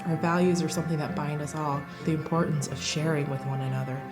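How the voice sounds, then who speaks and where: A woman's voice: sits low, steady tone, fast talker. A woman in a documentary interview, speaking in a heartfelt way as she lists what matters to her community. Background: music.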